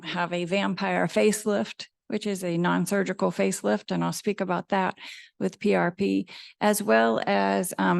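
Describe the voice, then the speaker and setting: A woman in her fifties lectures at a medium pitch with a slight gravelly fry. She explains things in a measured way, pausing on frequent ums. The sound is dry and close. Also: dry recording. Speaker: dermatologist giving a lecture. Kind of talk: lecture presentation. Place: lecture hall with live audience.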